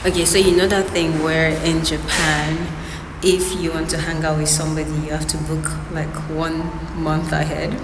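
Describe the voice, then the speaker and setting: A woman's voice: low voice, flat delivery, normal speed. A young woman from Nigeria, chatting casually in a vlog and laughing often between lines, filmed on a phone outdoors near a road. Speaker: vlogger. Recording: noisy street recording with passing traffic.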